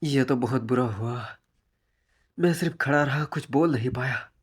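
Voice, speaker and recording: A man playing a gentle, anxious, polite teenage schoolboy, thinking aloud hesitantly in an anime fan dub. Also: mid-range voice, normal speed, breathy edge, natural voice. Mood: worried. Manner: hesitant, worried inner monologue.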